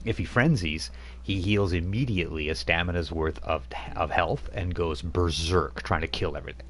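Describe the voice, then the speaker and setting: Deep low-voiced guy running a tabletop game, explains rules slowly, lots of ums. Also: slight background noise. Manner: steady, explanatory, halting with fillers. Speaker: game master.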